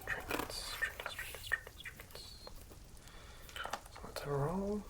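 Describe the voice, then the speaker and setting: A woman whispering in a breathy, unhurried ASMR style, muttering and repeating words as the host rambles through making a roleplaying character. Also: on the low side.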